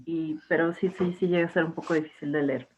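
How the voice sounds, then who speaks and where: A woman's voice: low-pitched, steady tone, quick pace, a little hiss or hum. Chatty young woman teacher on a tinny line. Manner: chatty, with restarts and fillers. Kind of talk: casual online class talk.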